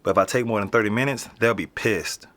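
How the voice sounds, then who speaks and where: Man playing a nonchalant, low-voiced American teenage boy. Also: quick pace, fairly bright tone, lightly acted. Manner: casual, slangy, offhand. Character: nonchalant streetwise teenage boy living in an apartment building. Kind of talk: naturalistic audio drama interview.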